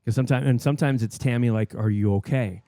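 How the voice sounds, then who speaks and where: Earnest mellow man, podcast co-host. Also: medium pitch, fast talker, no room sound, clean studio sound. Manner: earnest, circling, self-correcting explanations. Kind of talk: casual chat.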